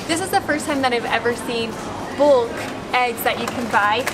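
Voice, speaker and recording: Young american woman vlogging in a store, bit high voice, chatty and curious, camera held close, steady store noise behind. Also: normal speed. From United States. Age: young adult. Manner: chatty, curious, comparing prices aloud. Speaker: travel vlogger. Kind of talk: casual vlog commentary. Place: large indoor supermarket. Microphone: handheld camera held close.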